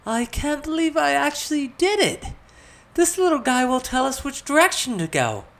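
A narrator voicing a young noblewoman in a fantasy story, pompous but unsure of herself, with a drawn-out delivery. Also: mid-range voice, big pitch swings, voice pushed up. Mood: excited.

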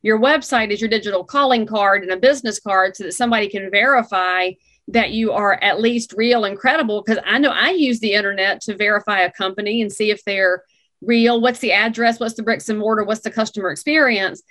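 A woman hosting an interview podcast, talking in an animated, opinionated way. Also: medium pitch, fairly quick. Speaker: podcast host.

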